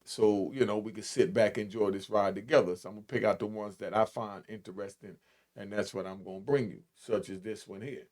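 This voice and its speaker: A man's voice: fairly low pitch, steady tone, brisk, darkish tone. A man giving casual reaction commentary as a host, talking emphatically to viewers and posing questions.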